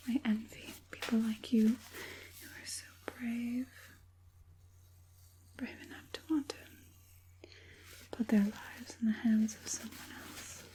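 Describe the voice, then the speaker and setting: A woman playing a sinister, coaxing witch puppet master in a whispered ASMR roleplay, speaking softly and deliberately in a breathy whisper. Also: a little high, rough recording. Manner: slow, soothing, deliberate, menacingly sweet.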